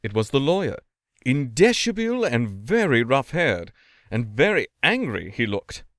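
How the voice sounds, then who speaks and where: Expressive man reading a story aloud. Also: medium pitch, normal speed, no room sound, studio quality. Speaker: story reader. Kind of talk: dramatic literary narration.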